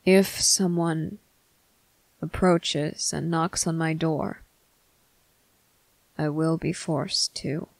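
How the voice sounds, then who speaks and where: Slow, gravelly, stoic vampire officer acted out. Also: medium pitch, dry recording, poor audio. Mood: stern, caring. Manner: slow, deliberate, stern, commanding, hushed at times. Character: stern, stoic vampire military officer, protective man. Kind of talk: ASMR roleplay addressed to the listener.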